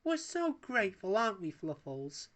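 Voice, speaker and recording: A man's voice: high voice, big pitch swings, normal speed, a bit bright, pitched above the performer's own voice. A man voicing a flirtatious, grandly genteel middle-aged woman in a storybook, purring and coy.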